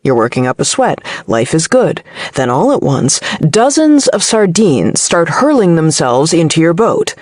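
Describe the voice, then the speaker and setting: A woman's voice: deep voice, sing-song, measured pace, a little mellow, dry recording, clean studio sound, exaggerated voice. A woman voicing an impish, teasing man who hosts a podcast in an audiobook novel. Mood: teasing suspense. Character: impish bearded male podcast host, teasing best friend.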